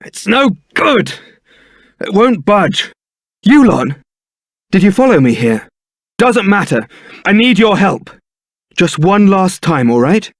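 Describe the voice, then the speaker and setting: An actor playing a young templar knight in a video game, suave, wry and brooding, with a theatrical, articulate delivery. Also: male voice, high-pitched, sing-song, slow pace, long pauses, no room sound, studio quality, heavily acted. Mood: alarmed, urgent. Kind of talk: video game voice acting, dramatic scripted dialogue.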